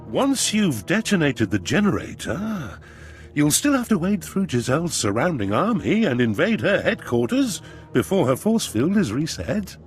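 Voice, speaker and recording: A male actor playing a scheming Imperial adviser in a video game, giving a deliberate, theatrical briefing. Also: on the high side, sing-song, soft background music. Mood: relishing. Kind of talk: video game dramatic acting.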